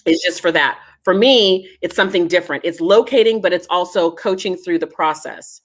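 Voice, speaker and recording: A woman's voice: fairly low pitch, moderate pace. A woman speaking as a genealogy foundation head in a casual interview, earnest and reassuring as she gives advice.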